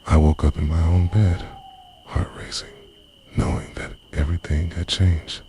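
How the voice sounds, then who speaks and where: A man narrates a scripted romantic ASMR roleplay in a hushed, breathy, confessional voice that often slips into a whisper. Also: deep voice, level tone, measured pace, a little hiss or hum.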